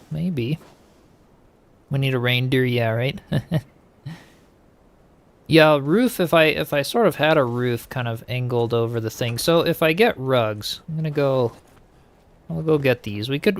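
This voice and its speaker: Gravelly, easygoing male game streamer. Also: medium pitch, big pitch swings, a little slow, pauses often. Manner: easygoing, musing, hesitant. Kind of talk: casual gameplay chat, reading viewer comments.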